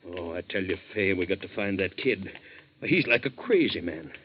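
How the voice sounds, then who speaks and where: A muffled vintage radio drama recording carries a low-pitched captain. He is folksy and protective, anxious underneath, and halts and repeats himself as he talks. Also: animated, a little hiss or hum. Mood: concerned, soothing. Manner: halting, folksy, repeats his words. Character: anxious, kindly sea captain, protective of a young friend. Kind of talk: 1940s radio acting.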